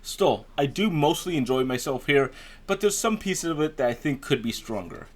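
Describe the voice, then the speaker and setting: A man's voice: on the high side, expressive, normal speed. A man giving conversational, opinionated video review commentary as a game reviewer, leaning emphatically on key words.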